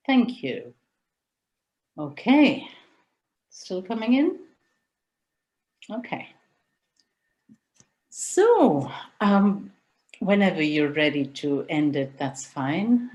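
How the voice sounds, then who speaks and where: Low-voiced woman presenting a webinar. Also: darkish tone. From India. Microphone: video call.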